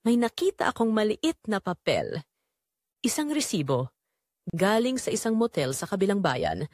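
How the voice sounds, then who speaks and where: Woman, Filipino accent, dramatic story narration, mid-pitched voice, animated intonation, dry recording. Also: dry recording. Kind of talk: scripted first-person dramatic narration.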